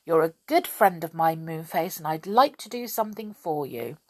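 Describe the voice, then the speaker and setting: A woman's voice: deep voice, animated, moderate pace, no room sound. A woman playing a loyal, earnest old saucepan man in a children's storybook. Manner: earnest, shouting when roused.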